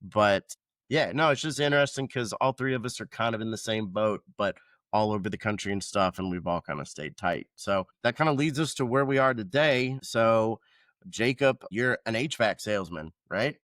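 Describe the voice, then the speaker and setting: Low-voiced rambling man from Georgia. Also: animated, moderate pace, a bit bright, no room sound. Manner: loose, rambling, swears casually. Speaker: podcast host, veteran. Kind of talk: casual chat.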